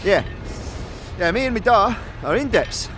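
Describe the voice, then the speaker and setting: A man's voice: high voice, animated, unhurried, a bit bright, a little hiss or hum. A man playing a friendly, chatty young apprentice smith in a fantasy audio drama, speaking in colloquial slang with hesitant starts. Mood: easygoing, chatty.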